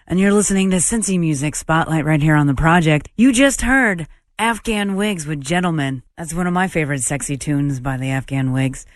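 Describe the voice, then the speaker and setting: A radio DJ with a low, hoarse voice hosting a music show, joking easily about a worn-out voice. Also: normal speed, no room sound, studio quality. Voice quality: low, husky voice. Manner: laid-back, self-deprecating patter. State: hoarse, strained voice. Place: radio studio. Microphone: studio microphone.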